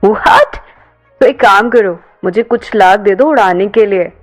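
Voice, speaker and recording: A woman's voice: medium pitch, measured pace, soft background music, lightly acted. A woman voicing a weary young woman in an audio story, urging her companion with exasperation. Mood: shocked teasing. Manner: urging, mixing Hindi and English.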